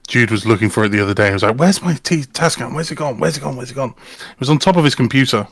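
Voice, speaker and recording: A man's voice: mid-range voice, very fast, dry recording. A man chatting casually as part of a livestream group.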